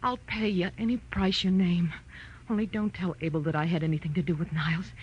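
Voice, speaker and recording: A woman's voice: lowish voice, fairly even intonation, fairly quick, husky. A woman acting an anxious, pleading young wife of a rich man in an old-time radio melodrama. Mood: pleading confession. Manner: dramatic, breathless pleading. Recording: muffled old radio recording.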